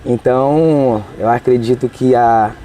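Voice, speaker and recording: A man's voice: mid-range voice, a little slow, dark tone, slight background noise, low-quality recording. A man, answering interview questions earnestly and hesitantly. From Tocantins, Brazil. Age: 20s. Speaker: interviewee, law graduate.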